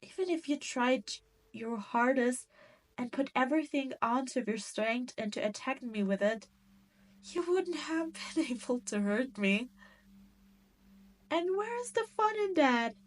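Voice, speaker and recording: A woman's voice: high voice, lively intonation. A woman playing a cruel, arrogant demon queen in a roleplay audio drama, teasing and condescending as she speaks straight to the listener. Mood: amused, condescending. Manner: teasing, condescending, drawn-out delivery. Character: cruel, arrogant adult female demon queen, mocking and possessive.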